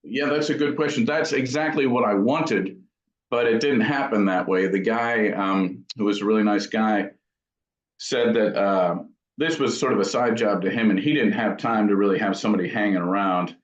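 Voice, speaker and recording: A middle-aged man with a fairly low voice talks thoughtfully and casually, as an interview guest reminiscing. He pauses and hesitates as he goes. The sound is roomy and slightly dull. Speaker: guitar amp builder, interview guest.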